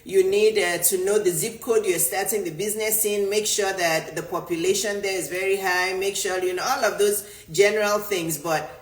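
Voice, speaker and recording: A middle-aged woman from Nigeria, a native Yoruba speaker, coaching with insistent emphasis and repeating herself to drive points home. Her voice sits at a middle pitch for a woman. The room adds a noticeable echo around her. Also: steady tone, moderate pace, hardly any pauses. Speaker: business coach. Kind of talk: motivational talk answering a viewer. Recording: roomy echo.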